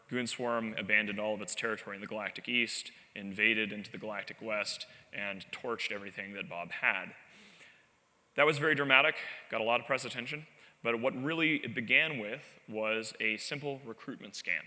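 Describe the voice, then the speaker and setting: A man gives a live conference talk in a large hall, telling anecdotes with a wry, self-deprecating edge. His voice sits on the low side but sounds very bright and sharp. The stage microphone picks up the long reverb of the room. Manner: animated, wry storytelling with self-deprecating asides. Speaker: conference speaker.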